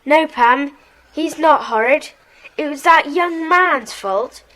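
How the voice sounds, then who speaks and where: Woman as very high-voiced, earnest schoolboy. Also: takes it slowly, pushed and bright, exaggerated voice, low-quality recording. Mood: regretful. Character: earnest, regretful schoolboy forced to leave his club. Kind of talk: children's audio drama acting.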